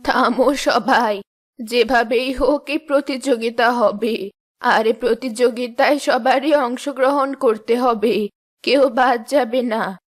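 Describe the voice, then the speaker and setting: A woman's voice: on the high side, slow pace. A woman playing a commanding, firm mother-in-law who runs her household in a fairy-tale cartoon. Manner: bossy, declarative, firm.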